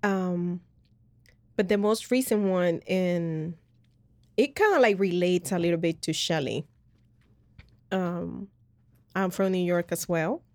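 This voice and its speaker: A woman's voice: lowish voice, takes it slowly, long pauses, darkish tone, dry recording. Earnest woman sharing her story. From Colombia. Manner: earnest, rambling, many fillers and restarts. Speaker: podcast host. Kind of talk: personal faith testimony in casual chat.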